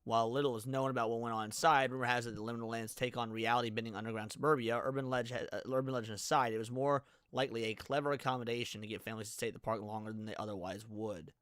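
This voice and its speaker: A man talks fast in a bright, forward voice while hosting a live reaction stream. He reads text aloud and stumbles over words at times. The sound is dry and close, with no room around it. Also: medium pitch, steady tone, clear, resonant tone, no echo. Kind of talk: casual reaction commentary.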